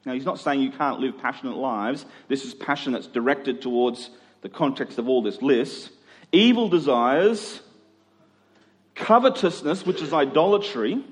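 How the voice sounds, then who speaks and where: A man's voice: medium pitch, normal speed. A middle-aged man preaching in a conversational expository style, using rhetorical questions and emphatic repetition. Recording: long hall reverb.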